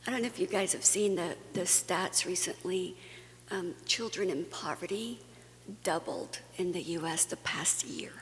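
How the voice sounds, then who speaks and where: A woman's voice: lowish voice, normal speed. A woman from Guatemala giving an earnest, conversational conference talk, with fillers and restarts. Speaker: conference speaker. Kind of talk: plenary talk to a faith audience. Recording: long hall reverb, faint hum.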